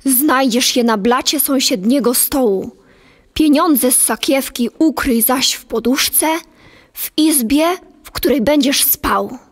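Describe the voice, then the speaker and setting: A woman playing a respectful young stable boy in an audio play, with a hushed, deliberate manner. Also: high voice. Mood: hushed warning. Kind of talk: dramatic audio play acting.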